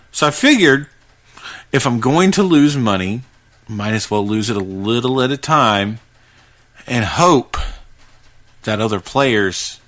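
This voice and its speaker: A man's voice: mid-range voice, a bit bright. Man telling a first-person horror story. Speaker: podcast host reading a horror story. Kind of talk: first-person creepypasta narration.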